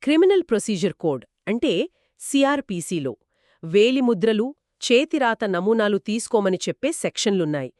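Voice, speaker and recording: A woman speaks as an explainer in a two-person discussion, with an assured manner. Her pitch is average for a woman but moves around in a lively way. The sound is very dry, with no room echo. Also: moderate pace. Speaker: explainer co-host.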